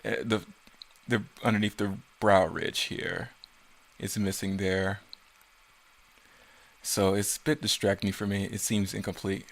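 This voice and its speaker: Low-voiced man in casual commentary. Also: flat delivery, measured pace, creaky, long pauses. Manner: self-critical, rambling. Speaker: creator commenting on own work.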